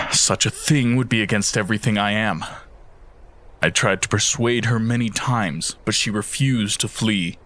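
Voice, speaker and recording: A man performs a weary immortal guardian in a fantasy audio drama. The voice is low and the delivery very slow and measured. Sorrow and solemn resolve weigh on the words. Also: bright, forceful tone. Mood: solemn, patient. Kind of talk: fan audio drama acting.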